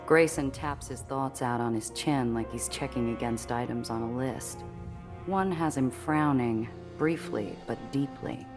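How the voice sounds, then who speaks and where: A tough, wry noir heroine for a game. Her voice is strikingly low for a woman, measured in description and blunt in conversation, over music. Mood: measured, observant. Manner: measured, literary narration with blunt casual asides. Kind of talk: scripted first-person game narration.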